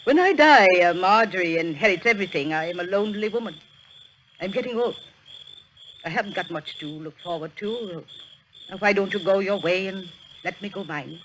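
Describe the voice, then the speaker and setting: An actress playing a stubborn, lonely aging widow in an old-time radio drama, querulous and fussy, on a noisy old recording. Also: female voice, mid-range voice, expressive, normal speed, a bit bright, lightly acted. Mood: defensive. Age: older. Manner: querulous, fussing.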